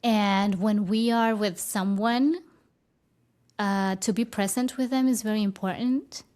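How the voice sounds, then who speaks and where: A woman's voice: medium pitch, fairly even intonation, unhurried, darkish tone. A woman whose first language is Spanish, speaking English as a guest in a conversational interview, thoughtful and often restarting her phrases. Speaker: guest, spiritual teacher.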